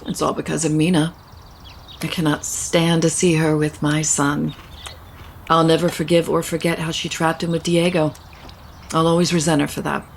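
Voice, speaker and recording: A woman's voice: deep voice, flat delivery, normal speed, vocal fry, a little hiss or hum. An actress playing a genteel, protective middle-aged mother who holds grudges, in an audio soap opera. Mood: bitter.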